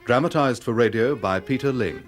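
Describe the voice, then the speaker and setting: A man's voice: medium pitch, moderate pace. A man with a British accent reading formal scripted credits as a radio announcer. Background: violin music.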